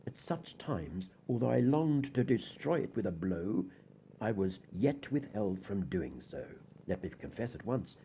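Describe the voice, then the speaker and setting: A man's voice: mid-range voice, warm tone, slight background noise, rough recording. A man performing a deranged, guilt-haunted murderer's confession in a theatrical, formal gothic audiobook reading. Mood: brooding dread. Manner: theatrical, formal, dramatic emphasis.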